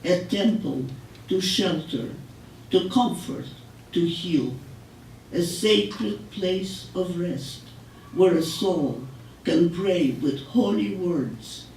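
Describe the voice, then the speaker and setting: An older woman reading her poetry aloud in a measured, solemn way with deliberate pauses. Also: on the low side, animated, a little mellow. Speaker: poet. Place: public library room, live reading. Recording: echoey room, distant mic, noisy.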